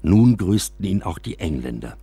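Male narrator, lowish pitch, husky texture, scripted radio storytelling, expressive literary reading. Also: normal speed, dry recording. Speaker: narrator reading a first-person memoir.

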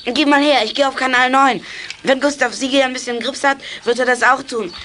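A woman playing a quick-thinking child in a CB radio club, in a German children's radio play. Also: sits high, fairly even intonation, a bit bright, a little hiss or hum. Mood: urgent.

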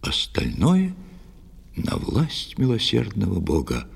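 Man playing a stern priest, low creaky voice, slow, solemn, admonishing, audio drama verse. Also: huge pitch swings, big-room sound, heavily acted. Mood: grave, hushed. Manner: grave, measured, emphatic. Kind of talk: theatrical verse audio play.

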